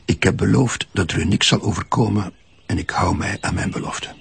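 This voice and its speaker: A deeply creaky, low-voiced Flemish kidnapper with a soft heart. He sounds calm and reassuring, in understated radio drama with a little background noise. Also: a little fast. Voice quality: gravelly. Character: soft-hearted male kidnapper reassuring his young captive. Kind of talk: naturalistic audio drama acting.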